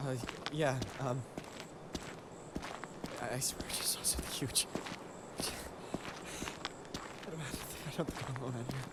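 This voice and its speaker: A man's voice: medium pitch, fairly even intonation, a little slow, long pauses, very noisy, lightly acted. A young man acting an anxious, sardonic, sweary video game character with a hesitant, stammering delivery. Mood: shaken. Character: anxious, sardonic young man hunting answers about his family.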